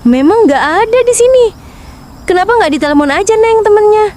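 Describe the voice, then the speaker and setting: A woman's voice: very high-pitched, animated, fairly quick, dark tone. A woman voicing a blunt, puzzled middle-aged neighborhood woman in a cartoon. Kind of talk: cartoon voice acting.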